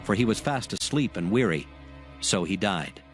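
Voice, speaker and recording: A man's voice: lowish voice, expressive, normal speed, soft background music, close to an everyday voice. A man narrating dramatized scripture as a solemn storyteller, reading scripted text.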